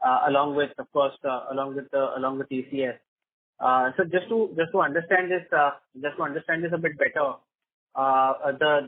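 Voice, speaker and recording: A man's voice: mid-range voice, no echo. A man asking questions as an analyst on an earnings call, hesitant and repeating his words. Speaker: caller, financial analyst. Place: conference phone call. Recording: flat, dry narrow phone line.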